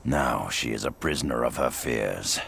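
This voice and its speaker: An actor playing a grim, proud and vengeful beast-warrior rebel leader in a video game, with a deep gravelly growl and a terse, commanding delivery. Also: male voice, moderate pace, a bit bright, a little hiss or hum, heavily acted. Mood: grim, measured. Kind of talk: dramatic video game acting.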